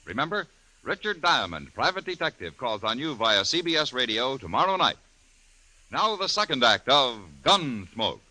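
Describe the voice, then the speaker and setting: A man's voice: mid-range voice, big pitch swings, moderate pace, sharp tone, rough recording. An American man announcing in the polished style of a mid-century radio network.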